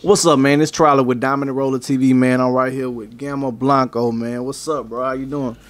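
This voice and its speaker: Man, interviewer, relaxed slangy talk, medium pitch. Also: a little fast. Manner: relaxed, slangy, repeats "man" and "you know what I'm saying". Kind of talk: casual interview.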